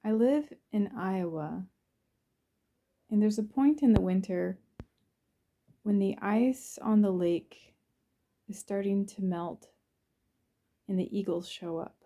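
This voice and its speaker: A woman leads a guided visualization. Her voice is very soft-edged and mellow, at an average pitch for a woman. She speaks soothingly and without hurry, leaving pauses between short phrases as she narrates in the first person.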